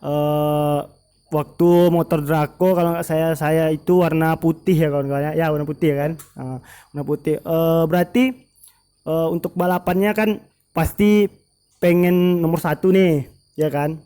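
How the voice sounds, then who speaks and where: A man's voice: a little high, steady tone, measured pace, mellow, clear, resonant tone. A male motovlog host interviewing a racing team, chatty and full of fillers, often turning to speak to his viewers. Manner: chatty, frequent fillers, keeps addressing viewers as friends. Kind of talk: casual interview, addressing viewers.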